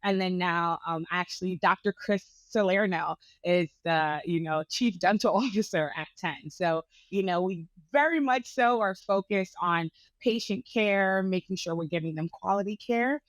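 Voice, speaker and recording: A woman's voice: fairly low pitch, normal speed, few pauses, no echo. A woman from Brooklyn, a dentist in her thirties, talking energetically in a casual interview with lots of fillers. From Brooklyn, New York. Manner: energetic and chatty, with frequent fillers. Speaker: dentist guest.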